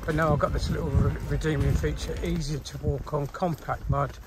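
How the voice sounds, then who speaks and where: A man's voice: a little high, little pitch movement, fairly quick. A man in his fifties, a hiker, chatting casually and wryly to his phone on a windy coastal footpath. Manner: chatty, rambling, wry asides. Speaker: hiker vlogger. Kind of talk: casual walking vlog narration. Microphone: phone at arm's length.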